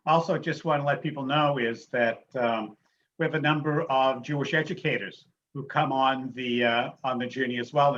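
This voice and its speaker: A man hosts an online discussion in an informal way. His phrasing is measured and hesitant, and the sound is dull, lo-fi video call audio. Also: medium pitch, fairly bright tone. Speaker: discussion moderator. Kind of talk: informal moderating of an online talk.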